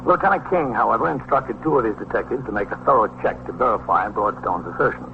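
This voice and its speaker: An actor playing a police captain, narrating a procedural radio drama in a factual, scripted way. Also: male voice, fairly low pitch, lively intonation, fast. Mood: measured, factual. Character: matter-of-fact male police captain narrating a case. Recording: thin, phone-like, noisy old radio recording.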